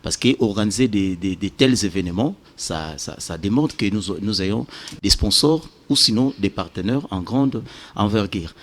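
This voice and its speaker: A man's voice: fairly low pitch, lively intonation, moderate pace. A man with a Congolese French accent explaining as a guest organiser in a television interview. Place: television studio set.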